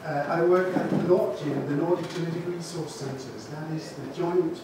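A man's voice: fairly high pitch, steady tone, normal speed, distant mic, very noisy. A middle-aged man with a Danish accent gives an informal seminar talk on a handheld mic to a seated audience, often correcting himself. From Denmark. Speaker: gene bank speaker. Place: large room with seated audience. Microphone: handheld mic, far camera. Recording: echoey room recorded from afar.

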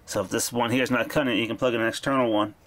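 A man's voice: mid-range voice, steady tone, rapid-fire, fairly bright tone. A man giving an informal, offhand hands-on product demo, speaking from behind a handheld camera. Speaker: video creator demonstrating a vintage radio. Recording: background noise, handling clicks.

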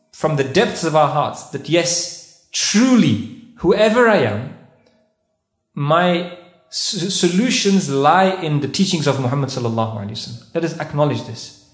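A man's voice: fairly high pitch, animated, measured pace. A man giving a sermon-style lecture at a mosque, putting questions to the audience and reciting Arabic verses. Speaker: visiting speaker at a mosque conference. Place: carpeted mosque prayer hall, indoors. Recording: echoey mosque hall.

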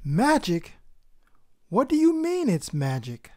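Lady doing an unsure little schoolboy from a storybook, earnest n plaintive, pitch moves a lot. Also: huge pitch swings, slow pace, warm tone. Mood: surprised. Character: anxious, self-doubting schoolboy in a children's storybook.

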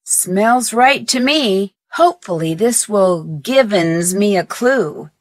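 A woman voicing a plucky, curious young detective in a video game, with an American accent and perky, clearly enunciated, expressive delivery. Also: medium pitch, takes it slowly, dry recording. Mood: thoughtful, determined. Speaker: game character and tutorial guide.

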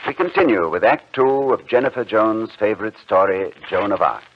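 A man narrating an old-time radio drama from a script in a formal, measured manner. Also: lowish voice, animated. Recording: dull, noisy old radio recording.

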